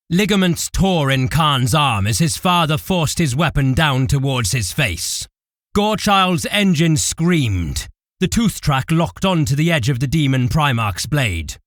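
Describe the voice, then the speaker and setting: A man's voice: fairly high pitch, a bit bright, no room sound, studio quality. A man giving intense, theatrical scripted narration for an audio drama.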